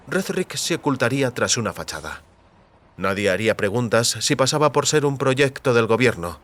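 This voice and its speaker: A man narrating scripted audio fiction as a storyteller, in a measured, dramatic way. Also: medium pitch, a bit bright.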